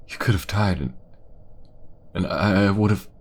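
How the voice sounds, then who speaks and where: Man as fantasy king, very deep pitch, earnest, emotional, formal, remorseful family man, audio drama, background ambience. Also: normal speed, a little hiss or hum. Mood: anguished, remorseful. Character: remorseful fantasy king, gentle husband and devoted father.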